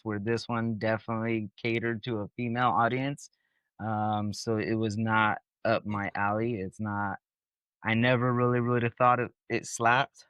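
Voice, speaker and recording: A laid-back man co-hosts a casual movie podcast, rambling with plenty of ums and likes. His voice is smooth and clear, and the recording is dry and close. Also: mid-range voice, fairly bright tone, no room sound, low-quality recording. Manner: laid-back, many fillers like um and like. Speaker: podcast co-host. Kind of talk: casual film podcast chat.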